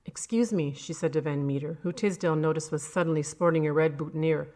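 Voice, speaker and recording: Woman narrator for horror audiobook, low register, scripted storytelling delivery. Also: fairly even intonation, quick pace, darkish tone.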